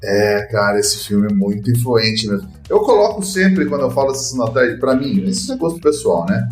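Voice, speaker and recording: A man's voice: medium pitch, big pitch swings, moderate pace, roomy sound, faint music behind. A man with a Brazilian Portuguese accent hosting a casual film podcast, enthusiastic and full of "cara" and "né". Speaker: podcast host.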